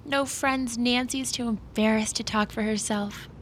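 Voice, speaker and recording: Actress as snide mean-girl woman, slangy and gossipy, highish voice, slow drawl, audio drama. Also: steady tone, a little hiss or hum, lightly acted. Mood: mocking. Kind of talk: audio fiction drama acting. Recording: music clearly underneath.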